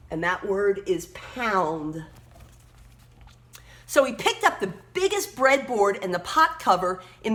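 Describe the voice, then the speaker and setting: A woman reading a children's story aloud expressively, emphatically pausing to point out words and pictures. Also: mid-range voice, sharp tone.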